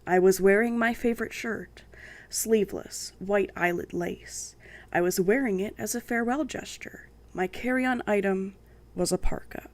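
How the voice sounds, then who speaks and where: A woman hosting a book channel, reading a novel aloud and commenting on it in a sassy, sarcastic way, her voice croaky from a sinus infection. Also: mid-range voice, normal speed. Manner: sniffing and coughing.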